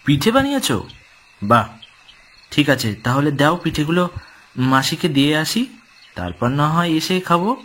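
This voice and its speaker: A man's voice: a little high, very animated, moderate pace, long pauses, bright, forceful tone, slightly rough, full character voice. A man voicing a protective young older brother in a horror cartoon. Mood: obliging. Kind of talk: Bengali horror cartoon dub.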